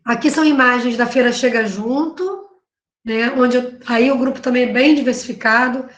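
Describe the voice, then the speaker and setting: A Brazilian woman speaks in a notably high voice, talking through her research like a professor at an online panel. She keeps it conversational, checks in with her listeners and corrects herself as she goes. Her voice rings a little in an echoey room. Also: steady tone, normal speed, highs cut off. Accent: Brazilian Portuguese. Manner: conversational, frequent "né?" tags and self-corrections. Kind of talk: academic panel talk presenting her work. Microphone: video call.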